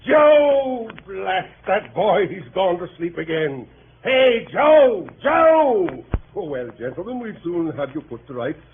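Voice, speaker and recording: An actor in 1930s radio style playing a hearty, blustering middle-aged country gentleman host, genial and excitable. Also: high voice, takes it slowly, a little hiss or hum, exaggerated voice. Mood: exasperated shouting. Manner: blustery, exclamatory, jovial. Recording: muffled 1938 radio broadcast, music behind.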